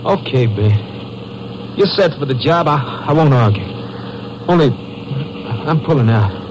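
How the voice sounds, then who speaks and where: An actor playing a nervous, weak-willed small-time crook who hesitates and stammers, with an American accent, in an old-time radio drama. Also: male voice, medium pitch, lively intonation, a little slow, highs cut off, noisy background, lightly acted. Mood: frightened, pleading. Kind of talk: 1940s-50s American radio drama acting.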